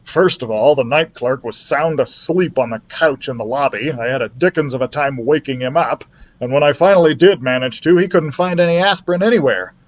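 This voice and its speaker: A man's voice: mid-range voice, brisk, lo-fi sound, lightly acted. A man voicing an exasperated, practical father in a children's storybook reading.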